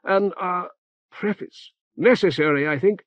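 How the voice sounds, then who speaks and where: A male audiobook narrator voices an old cleric who speaks in halting, clipped phrases padded with "eh" and "uh". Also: high-pitched, no room sound, dull audio, pitched above the performer's own voice. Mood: ponderous. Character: fussy elderly male cleric, rambling and hesitant.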